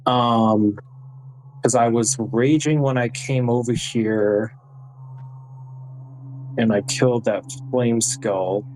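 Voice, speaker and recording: A man's voice: mid-range voice, darkish tone, slight background noise, poor audio. A man chatting casually as a player in a tabletop role-playing game.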